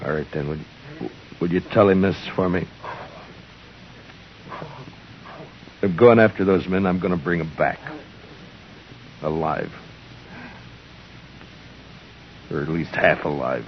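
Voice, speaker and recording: An actor playing a stern, stubborn, middle-aged frontier marshal in an old Western radio drama, terse and grim. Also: male voice, deep voice, moderate pace, pauses often, slight background noise. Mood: troubled, resolute. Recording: muffled old radio recording.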